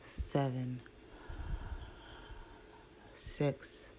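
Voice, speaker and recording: A woman leading a guided meditation in a hushed, soothing, breathy voice with long pauses between phrases. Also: rumbling low, little pitch movement, rough recording. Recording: faint background noise.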